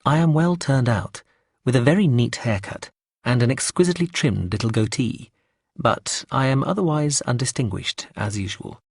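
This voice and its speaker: A man narrating a first-person story aloud in a measured, wry way. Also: medium pitch, gravelly, slightly rough, no room sound, clean studio sound.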